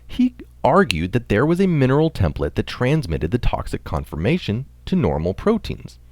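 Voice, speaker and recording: A man's voice: medium pitch, wildly swooping pitch, moderate pace, no echo. A man reading a science article aloud as scripted narration. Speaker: article reader.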